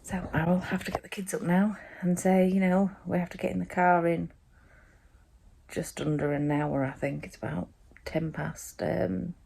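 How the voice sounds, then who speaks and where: A woman vlogging from a cold sunroom at home first thing in the morning, chatting in a rambling way with plenty of ums, her voice still croaky from sleep. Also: fairly low pitch, level tone, normal speed, a little mellow. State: croaky, just woken up. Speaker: vlogger, mother. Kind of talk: casual morning vlog chat to camera.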